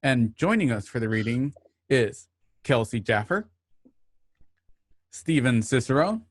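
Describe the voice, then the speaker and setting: A man hosting an online radio drama, reading a scripted introduction with measured, announcer-like phrasing. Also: medium pitch, big pitch swings, no room sound, clean studio sound.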